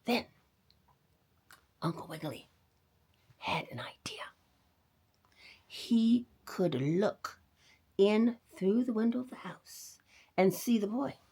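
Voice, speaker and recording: A woman reading a children's story aloud, dramatic and expressive, dropping at times into a hush. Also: mid-range voice, slow pace, pauses often, husky.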